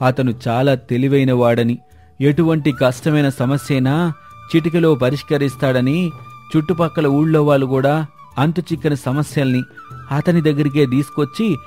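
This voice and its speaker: A man's voice: mid-range voice, darkish tone, lightly acted. Man playing an effusive, respectful village father. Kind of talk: dramatised storybook dialogue.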